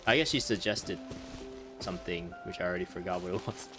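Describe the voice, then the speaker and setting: A man's voice: deep voice, a little fast, music in the background. A man giving casual, joking commentary as he plays a game. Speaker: gameplay streamer.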